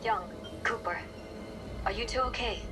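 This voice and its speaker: An actress playing an anxious, protective spaceship crew member in a sci-fi horror game, with noisy ship ambience behind. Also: female voice, fairly high pitch, unhurried, over music, lightly acted. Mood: alarmed. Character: anxious, protective woman crew member directing colleagues from control.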